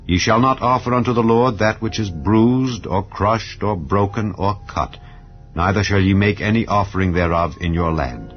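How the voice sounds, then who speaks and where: A man giving a solemn, measured, dramatized scripture reading. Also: fairly low pitch. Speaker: scripture reader. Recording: lo-fi with soft background music.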